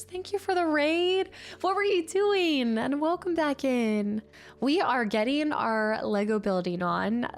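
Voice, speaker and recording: Female streamer, slightly high voice with a touch of congestion, chatty and informal, replying to viewers over background music. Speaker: livestream host. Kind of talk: casual live stream chat while building.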